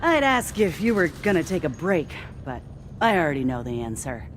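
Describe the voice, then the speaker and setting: A low-pitched starship crew chief speaks as a video game character over faint music. She is a devout patriot, earnest, dutiful and reverent about the cause. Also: very animated, normal speed. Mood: admiring, encouraging.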